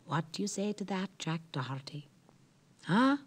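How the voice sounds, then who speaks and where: A man's voice: high-pitched, very animated, unhurried, slightly rough, pitched above the performer's own voice, exaggerated voice. A man voicing a sly, jovial old male merrow who talks in a knowing, wheedling way.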